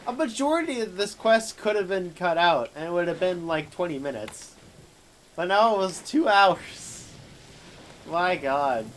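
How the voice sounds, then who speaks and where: A man's voice: a little high, a bit bright, slight background noise. A man livestreaming a game with rambling, joking, casual commentary. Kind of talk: casual live gaming commentary. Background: game audio.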